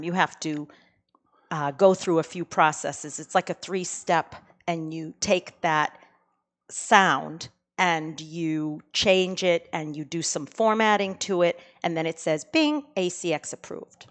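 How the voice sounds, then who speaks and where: A woman's voice: deep voice, slow, a bit bright. A woman with a Boston accent, an audiobook narrator explaining her recording and editing work in a casual podcast chat.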